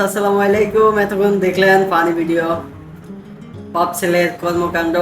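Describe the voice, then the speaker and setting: A man giving a presenter's commentary and sign-off to viewers as a video channel host. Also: high-pitched. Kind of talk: presenter's reaction commentary and outro. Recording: echoey room, guitar music behind. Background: plucked guitar music.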